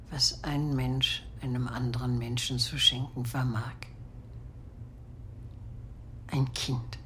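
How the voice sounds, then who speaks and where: An actress playing a grieving war survivor in a radio play, confiding guilt and secret love in measured, halting phrases that often sink toward a whisper. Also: female voice, low voice, slight background noise. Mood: tender, subdued confession. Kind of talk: dramatic first-person radio play monologue.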